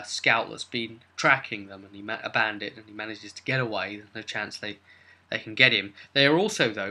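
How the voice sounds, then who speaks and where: Sharp, forceful man recapping a game. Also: fairly low pitch. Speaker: game master, vidcast host. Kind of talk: informal recap of a tabletop roleplay session.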